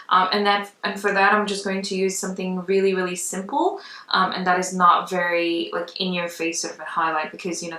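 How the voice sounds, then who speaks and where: A woman's voice: mid-range voice, sharp tone. Woman chatting through makeup, roomy echo. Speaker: makeup vlogger. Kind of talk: casual makeup tutorial chat. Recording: roomy echo, distant mic.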